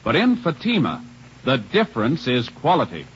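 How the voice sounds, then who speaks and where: A man's voice: medium pitch, wildly swooping pitch, normal speed. An American man announcing a program and its sponsor in a formal, emphatic 1950s radio style. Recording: dull, noisy old radio recording.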